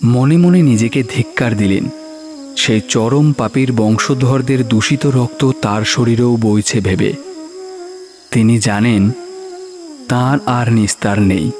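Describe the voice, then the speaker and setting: A man's voice: medium pitch, slow pace. Male horror story narrator over music. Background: background music.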